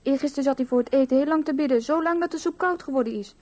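A woman's voice: high-pitched, racing, darkish tone, close to an everyday voice. A female performer in a 1970s radio play voicing a stubborn, outspoken little girl with insistent, childlike delivery. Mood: defiant. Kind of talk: 1970s Dutch-language radio play acting.

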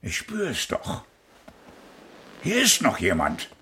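An actor playing an irritable, restless father, speaking curtly with uneasy low mutters. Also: wildly swooping pitch, slow, sharp tone, slight background noise, full character voice. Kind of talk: radio play acting.

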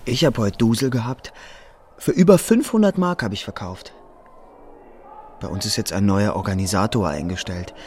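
Excitable, low-pitched young husband, acted by a man. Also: moderate pace, pauses often, slight background noise, lightly acted. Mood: worried. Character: anxious, affectionate young husband, a hard-pressed salesman. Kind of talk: German radio drama dialogue.

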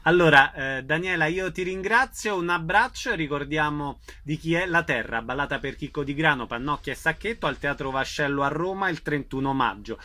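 A man's voice: fairly high pitch, animated, a little fast, few pauses, sharp tone, no room sound. A man hosting a conversational radio interview in an informal, affirming way.

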